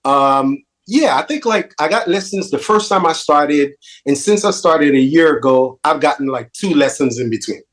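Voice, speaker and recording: A man's voice: fairly high pitch, normal speed, hardly any pauses. A man from Haiti with a Haitian accent mixed with New York, hosting a casual interview chat and joking about himself as he talks. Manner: animated, self-deprecating, repeats phrases. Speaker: podcast host.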